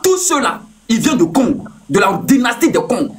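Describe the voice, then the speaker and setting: A man's voice: very high voice, big pitch swings, normal speed. A man hosting a heated live debate, repeating himself and cutting in to press his point. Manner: insistent, repeats words, cuts in. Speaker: live stream host.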